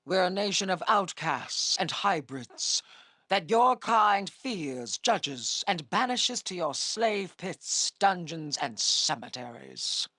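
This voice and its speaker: A woman playing a proud, menacing half-dragon army commander in a fantasy video game, imperious and scornful, with a husky rasp. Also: fairly low pitch, big pitch swings, takes it slowly, sharp tone, dry recording, full character voice. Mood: defiant.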